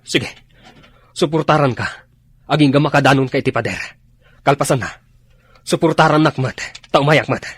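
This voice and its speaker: Radio drama officer: commanding, grave, Ilocano accent, husky medium-pitched voice. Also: male voice, normal speed, pauses often, fairly bright tone. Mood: urgent, resolute. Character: grave male army officer, commanding and concerned.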